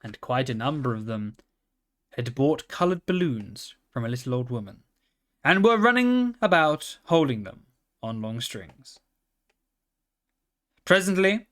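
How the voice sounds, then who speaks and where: A man reading a children's storybook aloud in a calm, steady manner. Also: on the high side. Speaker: reader.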